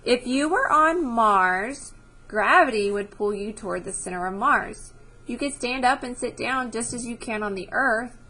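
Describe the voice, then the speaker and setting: Higher-voiced woman teaching children. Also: expressive, unhurried, pushed and bright. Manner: instructive, checks understanding with short questions. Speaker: teacher reading a book aloud to children. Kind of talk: read-aloud science lesson with questions to children.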